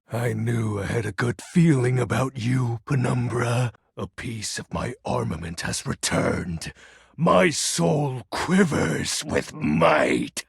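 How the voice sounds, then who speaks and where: An actor voicing a melodramatic, brooding game character, a personification of darkness who talks in pompous, theatrical, archaic phrases with a gravelly, husky voice. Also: male voice, medium pitch, no echo, studio quality, full character voice. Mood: triumphant, excited. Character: melodramatic brooding Lord of Shadows, personified darkness, secretly dorky. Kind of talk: video game character voice acting.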